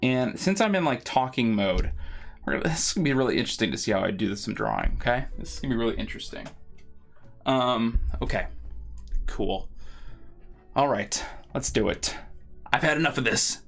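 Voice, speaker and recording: A man's voice: mid-range voice, animated, fairly quick, creaky, long pauses, a bit bright. Casual male streamer thinking aloud over music. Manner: informal, rambling, thinking aloud. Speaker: streamer and artist hosting a live drawing stream. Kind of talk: casual live stream chat. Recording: music playing behind the voice. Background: background music.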